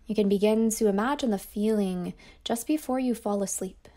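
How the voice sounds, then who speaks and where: A woman teacher guiding a yoga nidra meditation in a slow, soft voice that often drops toward a whisper. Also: medium pitch. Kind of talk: guided meditation.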